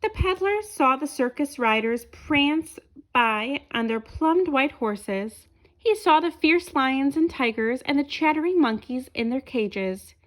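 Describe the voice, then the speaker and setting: A woman reading a children's picture book aloud, with expressive, deliberate phrasing aimed at young listeners. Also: high voice. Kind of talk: children's read-aloud narration.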